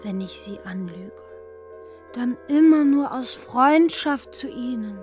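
Radio drama, young woman: high voice, defensive and hurt, halting confidences that slip toward whispering, music underneath. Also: expressive, a little mellow. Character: evasive, wounded young woman, pleading then sullen. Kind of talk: literary radio drama dialogue.

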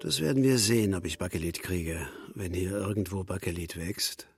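An actor playing a stubborn, plain-spoken building worker in a radio play, curt and matter-of-fact, with music behind him. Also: male voice, low-pitched, normal speed, gravelly, a little mellow. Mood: curt, determined.

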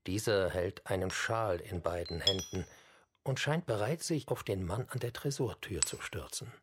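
A man's voice: sits low, expressive, moderate pace, background noise. A man reading scripted documentary narration for a radio play.

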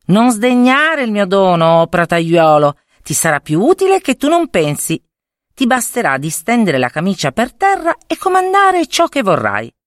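A woman's voice: medium pitch, big pitch swings, normal speed, no room sound, clean studio sound, voice pushed up, natural voice. A woman voicing a kind young foster sister in a fairy tale, earnest and reassuring.

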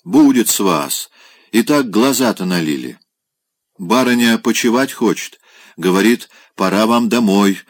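A man's voice: on the low side, sing-song, unhurried, dry recording, clean studio sound. A man voicing a blunt, grumbling female housemaid.

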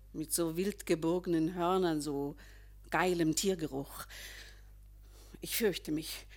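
An actress playing a weary, fearful, brooding lady in a poetic radio play, with a dramatic, halting delivery. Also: fairly low pitch, full character voice. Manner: dramatic, halting, drifting into breathy hush. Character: weary, fearful, brooding lady sensing sudden old age.

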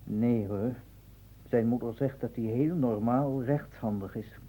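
Man playing a very mellow, deferential assistant. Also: measured pace, natural voice, rough recording. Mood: factual, orderly. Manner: clipped, orderly, deferential. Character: deferential male assistant reading out medical case reports. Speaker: actor in a radio play. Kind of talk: Dutch radio drama acting. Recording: old radio recording, faint rumbling noise.

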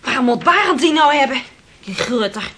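Radio drama: outspoken, teasing young woman, high and piercingly bright. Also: expressive, normal speed, husky, exaggerated voice. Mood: indignant. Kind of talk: Dutch radio play acting.

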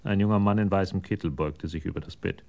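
Deep, gravelly male narrator. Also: fast talker, a little mellow, lo-fi sound. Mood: sober, detached. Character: sober, detached storyteller of a crime tale. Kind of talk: scripted narration of a radio crime drama.

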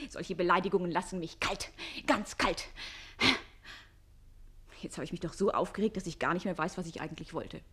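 An actress playing a spirited young maid about to marry, feisty and teasing, in a radio comedy. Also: female voice, on the low side, a bit bright. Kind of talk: theatrical German radio comedy acting.

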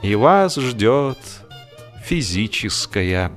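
A man narrates comic fiction in a somewhat low voice. His delivery is theatrical and wry, shifting emphasis as the story goes. Music is heard along with the reading. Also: very animated, extremely slow. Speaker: audiobook narrator. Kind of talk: expressive scripted narration of humorous fiction.

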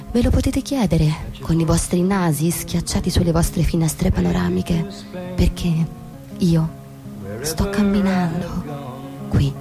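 An actress playing an anxious, self-conscious young woman, reliving a schoolgirl memory in an urgent, emphatic radio drama monologue. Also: on the low side. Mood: defiant. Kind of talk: dramatic first-person stage monologue for radio. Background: music.

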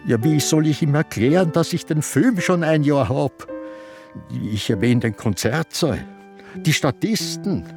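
Gravelly old viennese guy in a sanatorium, slow and musing, pitch swings a lot. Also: animated, over music. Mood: brooding memory. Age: older. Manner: halting, musing, confessional. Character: elderly man in a sanatorium, brooding, remembering. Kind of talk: radio play acting with inner monologue.

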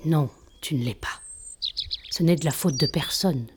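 A woman playing a grave, measured mentor of a resistance in an audio drama. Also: deep voice. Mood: solemn. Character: Orion, solemn mentor master of a resistance. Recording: clear background noise of birdsong.

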